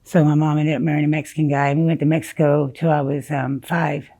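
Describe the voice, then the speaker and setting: Gravelly low-voiced woman, halting talk. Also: little pitch movement, warm tone. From South Dakota, USA. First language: Spanish. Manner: halting, many fillers and restarts, blunt about grim details. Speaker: interviewee. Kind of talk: candid storytelling interview.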